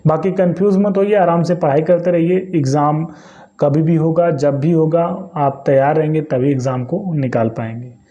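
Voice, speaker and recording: A man's voice: fairly high pitch, steady tone, normal speed, highs cut off. A man from hosting an exam coaching channel, explaining casually to his viewers, repeating phrases and checking that they follow. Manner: chatty, repeats phrases, asks "clear?". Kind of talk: casual explainer talk to camera.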